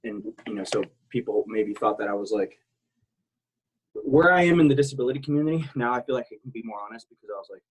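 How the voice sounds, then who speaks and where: A man's voice: medium pitch, animated, quick pace, slightly rough, rough recording. A man in his thirties from South Texas, a military veteran talking as an interview guest, rambling and blunt, with many restarts and fillers. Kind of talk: casual interview talk.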